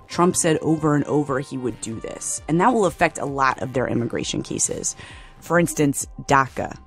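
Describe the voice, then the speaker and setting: Woman reporter with a gravelly, measured read, faint music under her. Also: extremely low pitch. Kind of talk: scripted radio narration.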